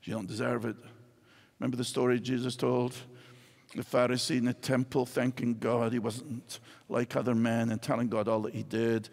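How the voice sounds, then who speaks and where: A man's voice: mid-range voice, flat delivery, moderate pace, creaky, darkish tone. An older man with a Scottish accent, preaching a sermon from a church stage with wide gestures. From Scotland. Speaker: pastor. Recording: hall reverb in a large church.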